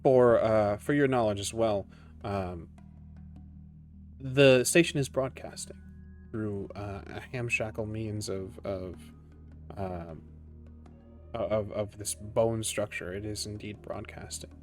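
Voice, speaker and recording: A man narrates a tabletop roleplaying game as its game master. His voice sits fairly low, his phrasing is deliberate and dramatic, and faint music plays behind him.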